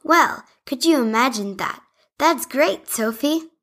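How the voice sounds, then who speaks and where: A woman's voice: high voice, expressive, takes it slowly, a bit bright, full character voice. A woman voicing a grateful male toy who lost his button, speaking mildly.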